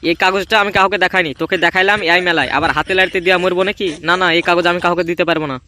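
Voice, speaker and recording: A man acting as a hot-headed cartoon boy. He talks extremely fast in a high voice, scolding and defiant. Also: fairly even intonation, sharp tone. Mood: defensive, guarded. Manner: defiant, scolding, rapid-fire. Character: hot-headed older boy guarding a secret paper. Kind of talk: amateur comedy cartoon dub.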